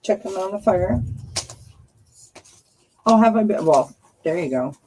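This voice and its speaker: A woman's voice: medium pitch, expressive, a little slow, warm tone. A woman with a scratchy voice chats casually and in a friendly way with live viewers as she hosts a card-reading stream. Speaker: livestream host reading oracle cards. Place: outdoors by a firepit.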